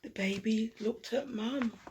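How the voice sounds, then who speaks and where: A woman reading a children's picture book aloud expressively, dramatic, with hushed asides and emphasis on repeated words. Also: on the high side, takes it slowly, slight background noise. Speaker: storyteller.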